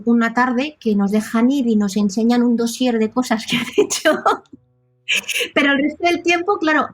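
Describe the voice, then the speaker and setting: A woman with a Catalan accent and Catalan as her first language talks in a fairly high voice. She is a casual podcast guest, chatty and fond of tag questions. Faint music is heard with her speech. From Catalonia, Spain. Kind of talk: casual conversational interview.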